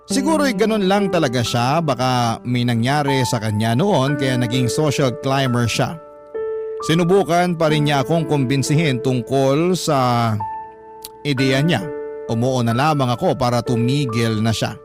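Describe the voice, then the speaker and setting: An actor playing a troubled, embarrassed young Filipino man, narrating his love story in a measured, confessional way for radio. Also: mid-range voice, close to an everyday voice. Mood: resigned, troubled. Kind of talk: scripted first-person radio drama narration. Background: background music.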